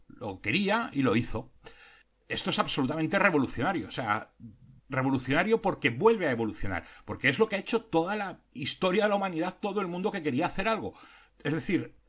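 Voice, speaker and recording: A man with a Spain Spanish accent hosting a podcast, explaining ideas earnestly with frequent pauses and hesitations. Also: on the high side, a bit bright, husky. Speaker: podcast host.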